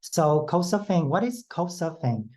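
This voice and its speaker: Give me a nervous man presenting on a video call, in a dark mellow voice. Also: fairly high pitch, expressive. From Vietnam. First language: Vietnamese. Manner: nervous, with halting non-native phrasing. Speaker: educator, fellowship presenter. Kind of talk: online presentation.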